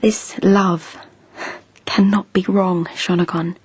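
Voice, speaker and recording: A woman's voice: mid-range voice, level tone, slow, a little mellow, breathy edge, no echo, poor audio. An actress playing a lovestruck young noblewoman in a radio drama, talking in an eager, breathless, whispery way. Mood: dreamy, confiding.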